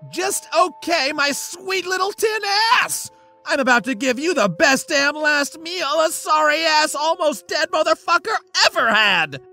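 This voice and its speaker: Shrill, manic talking tin can. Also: male voice, animated, a little slow, gravelly, sharp tone, faint music behind, full character voice. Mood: indignant, incredulous. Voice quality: shrill, strained. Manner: mocking, foul-mouthed, blustering. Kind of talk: comedic audio fiction character voice.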